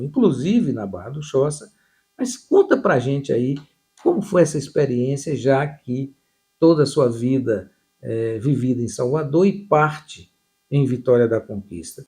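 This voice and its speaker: A man hosting a podcast interview, asking formal questions in a slow, deliberate way. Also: mid-range voice, expressive, warm tone. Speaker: podcast host, journalist.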